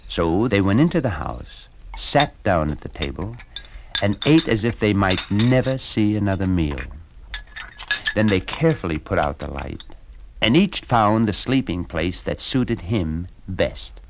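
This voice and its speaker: Slow expressive man telling a story. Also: on the low side, background noise. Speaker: narrator. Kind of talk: storybook narration for a shadow puppet show.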